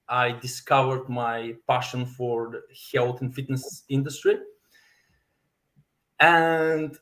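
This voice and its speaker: A man in his thirties from Bulgaria, a native Bulgarian speaker and fitness coach, telling his story as a podcast guest, deliberate with many fillers and self-repeats. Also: medium pitch, a bit bright. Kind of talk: personal story in podcast interview.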